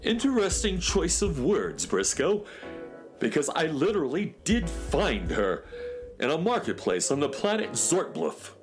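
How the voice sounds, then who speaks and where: A man's voice: a little high, wildly swooping pitch, a little slow, over music. Man playing a fussy space handyman, wildly swooping delivery. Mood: earnest explaining. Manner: fussy, precise, theatrical. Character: fussy, earnest eccentric male handyman inventor of outer space. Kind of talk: children's radio serial acting.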